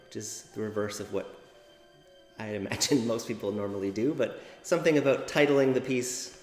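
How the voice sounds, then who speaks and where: A man's voice: lowish voice, normal speed, a little mellow, soft background music. A man, a composer, speaking in a thoughtful, hesitant way in a documentary interview. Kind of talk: documentary interview, reflective talk. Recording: echoey reverberant room.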